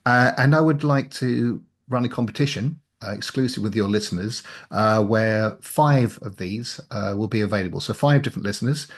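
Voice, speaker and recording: A man talking casually as an interview guest, with a halting, stop-start delivery. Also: lowish voice. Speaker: interview guest, audio drama writer. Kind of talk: casual chat.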